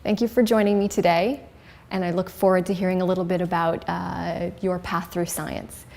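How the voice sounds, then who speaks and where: A woman's voice: on the low side, little pitch movement, moderate pace, creaky, a little mellow. A woman hosting an interview, reading a formal, polished introduction and asking questions. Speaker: interviewer, host.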